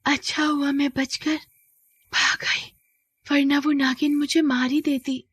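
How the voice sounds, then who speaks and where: A woman's voice: high-pitched, level tone, slow, fairly bright tone, no room sound, voice pushed up. A woman voicing a grieving young orphaned woman in a moral story, pleading and wailing. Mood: relieved, shaken. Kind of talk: animated moral-story acting.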